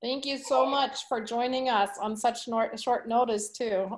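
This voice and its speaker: Brief: female moderator, bright high voice, warm encouraging cues. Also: fairly even intonation, a little slow, rough recording. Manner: upbeat, encouraging. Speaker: panel moderator. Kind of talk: moderating a video panel.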